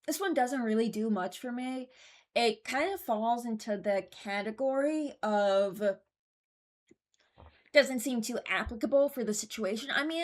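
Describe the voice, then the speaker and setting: A woman giving casual, rambling commentary as a YouTuber, frank and mock-serious, often hesitating as she goes. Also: on the high side. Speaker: YouTuber ranking game voice lines.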